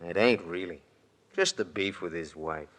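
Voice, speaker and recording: An actor playing a heavyset, tough private detective who talks in blunt slang in an old radio drama. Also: male voice, low-pitched, normal speed, fairly bright tone. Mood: bland menace. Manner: slangy, bluntly menacing, faux-friendly. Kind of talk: 1940s-50s radio detective drama acting.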